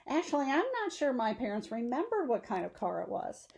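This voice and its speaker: A woman's voice: a little high, big pitch swings, fairly quick, vocal fry, darkish tone. Woman reading a story aloud. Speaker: teacher reading a novel aloud. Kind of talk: expressive audiobook-style storytelling.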